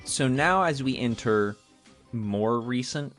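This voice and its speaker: A man co-hosting a podcast, explaining natural history in a casual, informal and jokey way with drawn-out emphasis on key terms. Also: mid-range voice, animated, a bit bright, faint music behind. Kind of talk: casual explanatory talk with a co-host.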